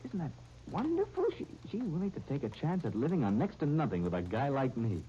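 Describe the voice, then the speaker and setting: A man's voice: a little high, sing-song, warm tone. An actor playing an eager, earnest young American man who trips over his words, in a 1930s radio drama. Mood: elated, confiding. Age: young adult. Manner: halting, stammering over words. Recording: muffled, noisy 1939 radio broadcast recording.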